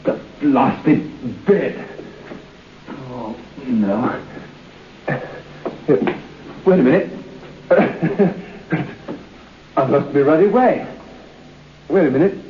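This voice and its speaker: An actor playing a proud, unnerved man trapped alone in a dark room, muttering to himself with forced bravado in an old-time radio drama. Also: mid-range voice, expressive, drawn-out words, long pauses. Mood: rattled, near panic. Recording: dull, noisy old radio broadcast.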